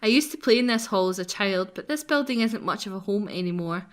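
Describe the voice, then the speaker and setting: A woman playing a gentle yet cheeky young heroine in a visual novel, earnest and quietly defiant. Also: mid-range voice, lively intonation, fairly quick, natural voice. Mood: wistful. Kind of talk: acted reading of visual novel dialogue.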